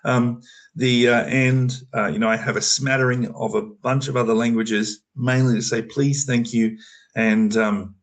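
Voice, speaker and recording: A man's voice: medium pitch, little pitch movement, unhurried. An Australian man talking loosely on a video call, explaining an opportunity with many fillers and restarts. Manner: rambling, full of fillers and restarts. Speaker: program manager on a community call. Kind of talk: casual explanatory talk.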